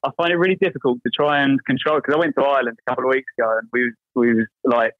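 Male podcast guest, rapid talker, muffled dry remote audio, hesitant but loose. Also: medium pitch, dry recording. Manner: relaxed after a few beers, hesitant, searches for words. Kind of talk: casual podcast chat.

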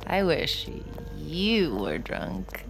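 A smitten, tipsy woman on a first date in an intimate audio drama. She speaks slowly and slightly low, with a creaky, breathy edge, flirty yet nervous. Music plays clearly behind her. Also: big pitch swings, full character voice. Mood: tipsy, giggly.

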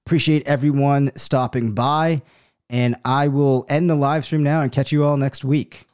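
A man's voice: medium pitch, fairly even intonation, normal speed, darkish tone, clean tone. A man hosting a live-streamed podcast, chatting casually while losing his voice to a cold and thinking aloud with many ums. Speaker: podcast host.

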